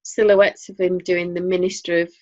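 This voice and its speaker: A woman's voice: fairly low pitch, level tone, moderate pace, a little mellow. Chatty Northern English woman, dull audio. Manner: chatty, rambling, dry humor. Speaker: co-host. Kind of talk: casual chat.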